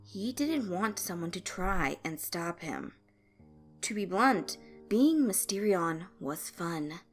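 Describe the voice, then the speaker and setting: A woman's voice: on the low side, expressive, slow pace. A woman reading scripted fan fiction as a storyteller. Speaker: narrator. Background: background music.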